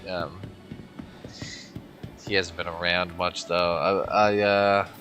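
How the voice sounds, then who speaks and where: A man's voice: low-pitched, flat delivery, slow, a bit bright, soft background music. A man streaming a space game, chatting casually in a laid-back, agreeable way. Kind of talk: casual gameplay stream chat.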